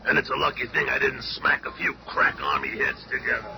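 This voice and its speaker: A gruff superhero brute with a gravelly, creaky growl of ordinary pitch. He grumbles and wisecracks like a hot-tempered working stiff. It sounds like a noisy old seventies radio drama. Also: sing-song, a little fast, pushed and bright, full character voice. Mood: grumbling. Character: gruff, hot-tempered monster-strong superhero, a blue-collar New Yorker.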